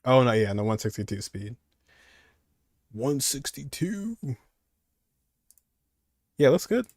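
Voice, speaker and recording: A man's voice: mid-range voice, big pitch swings, slow pace, dry recording, studio quality. A man live-streaming a game, chatting casually and rambling as he answers viewers, often repeating his phrases.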